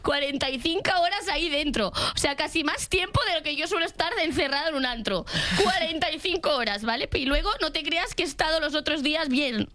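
A woman's voice: high voice, fairly quick, few pauses, bright, forceful tone. A woman with an accent from Spain, chatting and complaining in a self-mocking, exaggerated way as a comedic contributor on a talk show. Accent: Spanish from Spain. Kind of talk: comedic personal monologue and casual banter.

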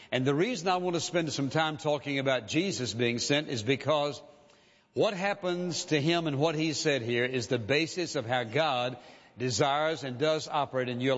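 A man preaching a sermon as a pastor, measured and emphatic, repeating key phrases for weight. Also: medium pitch. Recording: dull lo-fi sound with long hall reverb.

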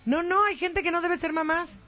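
A woman with a high voice hosts a radio call-in show in a lively, gossipy way, calling her callers sweetheart. She is from Mexico. Faint music plays behind her. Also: moderate pace. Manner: lively, gossipy, says "corazón" often. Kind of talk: casual radio chat.

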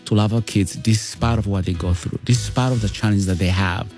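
A man's voice: lowish voice, brisk, darkish tone, soft background music. A man with a Ugandan accent, speaking as an interview guest and telling personal stories in a conversational way. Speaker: guest, foster father and author.

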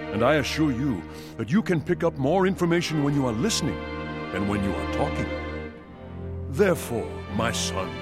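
A pompous, fatherly swan declaims in a resonant, fairly high-set voice that swoops dramatically up and down. The speech is flowery and proud, like a storybook orator, with music playing behind it.

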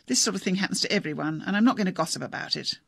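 A man voicing a brisk, no-nonsense woman barrister who speaks firmly. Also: sits high, expressive, gravelly, a bit bright, husky, no room sound, full character voice.

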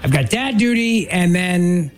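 Male talk radio host with a stuffed-up nose, chatty and self-mocking, voice on the higher side. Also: expressive. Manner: chatty, self-deprecating, quick asides. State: stuffy from a sinus infection.

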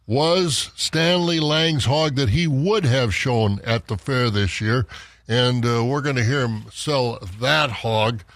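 Man, farm radio host, plain steady read, dry studio sound. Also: mid-range voice, no echo. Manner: plain, steady market readout with fillers. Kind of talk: farm radio market report and chat.

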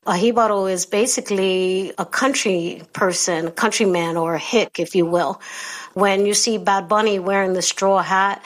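A woman's voice: medium pitch, steady tone, normal speed, rough recording. Reflective woman guest. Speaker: guest commentator.

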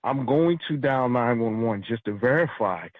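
A man from New York City calling into a radio show, speaking in a measured, matter-of-fact way. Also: medium pitch, lively intonation, no room sound. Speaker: caller, retired federal officer. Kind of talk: phone-in commentary. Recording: tinny phone line.

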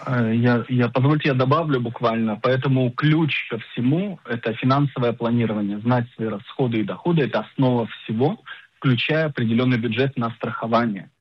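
Husky middle-aged man, dull radio sound. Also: medium pitch, brisk. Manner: didactic, emphatic, hesitant searching for words. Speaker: insurance agent and financial planner, radio guest. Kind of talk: explanatory radio interview.

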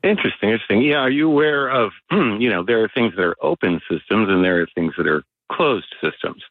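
Male radio caller, very deep voice, earnest and abstract, tinny phone line. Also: lively intonation, fairly quick, no room sound.

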